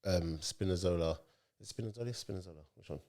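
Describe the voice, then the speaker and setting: A man's voice: low voice, fast, dark tone. A young man hosting a casual football podcast in a laid-back, drawling way. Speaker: podcast host.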